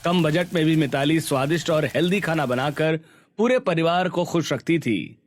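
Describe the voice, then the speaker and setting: Higher-pitched male cartoon story narrator. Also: normal speed.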